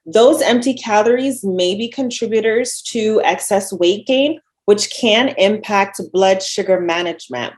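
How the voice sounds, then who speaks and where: Jamaican-accented woman, dietitian presenter; friendly, lively teaching delivery. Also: mid-range voice, unhurried, few pauses. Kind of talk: webinar presentation. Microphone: video call.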